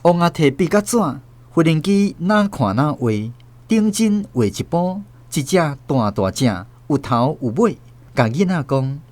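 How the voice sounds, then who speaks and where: A man with a Taiwanese accent narrates a story for radio. His voice sits a little high and swings widely in pitch, in a vivid storytelling style. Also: animated, unhurried, darkish tone.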